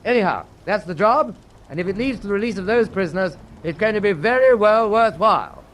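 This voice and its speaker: An actor with a British accent playing a resolute naval officer briefing his men in a war radio drama, crisp and authoritative. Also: high voice, animated, a little fast, fairly bright tone. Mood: resolute, businesslike. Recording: faint background noise.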